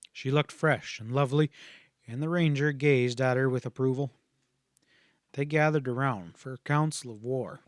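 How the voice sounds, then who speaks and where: A man narrating a western audiobook with a steady, even delivery at a middling pitch. The sound is dry and close, with no room around it. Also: no room sound. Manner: steady, even storytelling read. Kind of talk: scripted narration.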